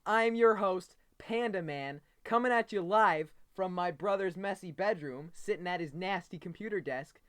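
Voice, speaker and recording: A man's voice: high voice, moderate pace, hardly any pauses, a bit bright. A man hosting an informal news explainer, telling the story in a casual, simplified way. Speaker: host of a homemade news explainer. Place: bedroom at a computer desk.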